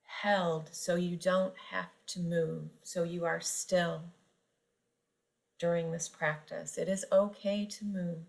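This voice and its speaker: A woman guiding a yoga nidra meditation, speaking gently and unhurriedly with long pauses between phrases. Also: lowish voice, flat delivery, creaky. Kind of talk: guided meditation narration.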